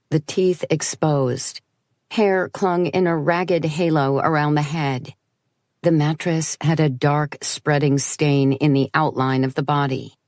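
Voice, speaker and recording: A woman's voice: low voice, darkish tone, no echo, studio quality. A woman reading first-person horror fiction as an audiobook narrator, in a wry, conversational storytelling way.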